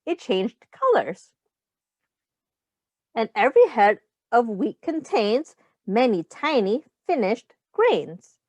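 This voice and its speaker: A woman's voice: on the high side, big pitch swings, slow, long pauses, a little mellow, no echo, highs cut off. A woman teacher reading a picture book aloud to young children, explaining simple words and asking them questions as she goes. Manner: instructive, pointing out rhymes and addressing young children directly.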